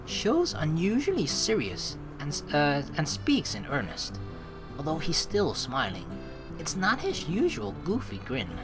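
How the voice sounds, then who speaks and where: A young man hosting a game playthrough, reading dialogue aloud and adding casual, slangy, expressive commentary. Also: on the high side, measured pace. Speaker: let's play host. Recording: game music behind the voice.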